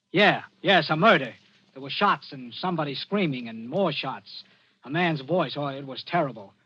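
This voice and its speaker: An actor playing a scheming, smooth-talking crook who coaxes his pal in a 1940s radio drama. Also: fairly high pitch. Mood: feigned panic. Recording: muffled old radio broadcast recording.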